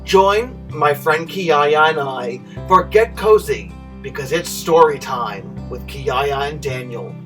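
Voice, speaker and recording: A man hosting storytime, reading a children's picture book with expressive, deliberate phrasing. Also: on the high side, music in the background. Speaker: storytime host and reader. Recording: roomy, distant mic, noisy hum.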